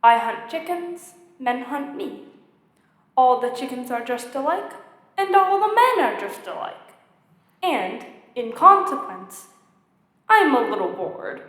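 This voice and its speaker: A woman voicing a lonely, wistful storybook fox in an earnest, gently dramatic way. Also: sits high, very animated, slow, heavily acted. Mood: bored, melancholy. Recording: echoey hall with long reverb.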